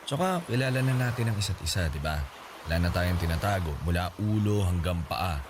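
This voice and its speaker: Easygoing young suitor, Filipino accent, radio drama, slightly deep voice, teasing conversational tone, noisy background. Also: male voice, expressive, brisk. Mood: affectionate, coaxing.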